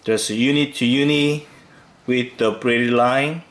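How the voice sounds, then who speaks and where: A man hosting a fishing tutorial, calmly talking through each step with occasional fillers as he ties knots. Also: mid-range voice, slight background noise. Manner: slow, relaxed instructional talk with fillers. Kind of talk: step-by-step demonstration voice-over.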